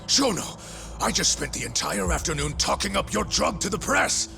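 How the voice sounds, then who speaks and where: An actor playing a self-important, volatile medical director in a video game cutscene, with an emphatic, theatrical delivery. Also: male voice, sits high, animated, moderate pace, vocal fry, music in the background, exaggerated voice. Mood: furious. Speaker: research center director.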